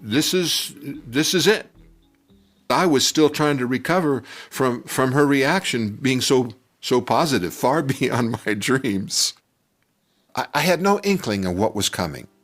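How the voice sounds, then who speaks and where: An older man telling a personal story from his youth in a conversational, halting and animated way. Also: medium pitch. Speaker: storyteller telling his own childhood memory. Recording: music bed under the voice. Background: music.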